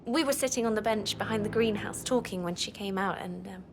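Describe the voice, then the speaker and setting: An actress playing an anxious, naive young housemaid in a video game, speaking hesitantly. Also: female voice, medium pitch, brisk, slight background noise, lightly acted. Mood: nervous, pleading. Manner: hesitant, nervous. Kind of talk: scripted video game dialogue. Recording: music behind. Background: music.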